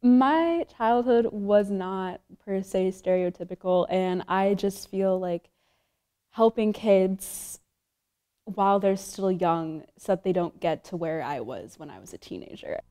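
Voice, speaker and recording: A young woman psychology student giving measured, sincere answers in a documentary interview. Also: mid-range voice, expressive, warm tone. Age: young adult. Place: studio with plain backdrop.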